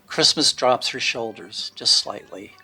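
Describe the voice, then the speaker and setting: A man's voice: mid-range voice, expressive, bright, forceful tone, slightly rough, soft background music, low-quality recording. Man narrating a radio drama. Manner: measured storytelling in present tense, acting out brief quotes. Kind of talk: scripted story narration.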